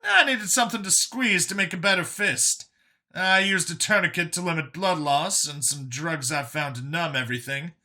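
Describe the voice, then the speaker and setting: A man voicing an earnest older male escaped captive in an audiobook, explaining past hardship in a halting, emphatic way. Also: fairly high pitch, bright, forceful tone, pitched above the performer's own voice. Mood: matter-of-fact. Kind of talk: audiobook character dialogue.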